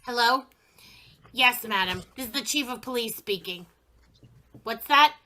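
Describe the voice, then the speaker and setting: A woman's voice: fairly high pitch, bright, forceful tone, rough recording. An actress playing a worried but firm police chief in a radio play, clipped in her orders. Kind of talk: community theater radio play.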